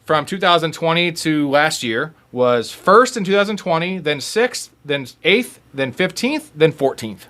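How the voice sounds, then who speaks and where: Bright, jokey male podcast host. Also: fairly high pitch, lively intonation, measured pace, hardly any pauses. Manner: jokey, teasing. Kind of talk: casual sports chat.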